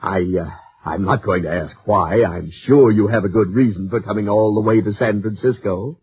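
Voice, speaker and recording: A man's voice: on the low side, moderate pace, slight background noise, close to an everyday voice. An actor playing an uneasy, deferential male insurance broker in a 1950s radio drama, hesitant and polite. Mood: uneasy, obliging. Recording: muffled 1950s radio recording.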